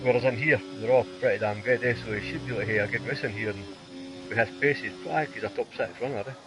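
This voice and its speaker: Fast-talking co-commentator. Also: male voice, mid-range voice. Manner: quick interjections, banter, reads out lap times. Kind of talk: casual race commentary. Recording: music playing underneath. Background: music.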